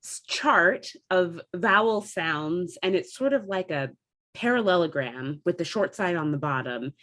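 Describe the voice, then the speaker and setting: A woman's voice: fairly low pitch, animated, unhurried, fairly bright tone. A woman with an American Midwestern accent explains things in a casual, chatty way, like a theatre member discussing her craft. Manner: chatty, demonstrates vowel sounds as she explains. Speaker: theatre company member recounting dialect training.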